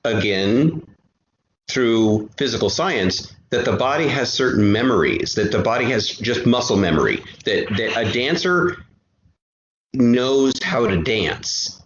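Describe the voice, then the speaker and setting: A man speaking as an interview guest, explaining things casually in a halting way with repeated words. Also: mid-range voice, expressive. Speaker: guest, audio drama creator and musician. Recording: roomy, dull, noisy remote connection.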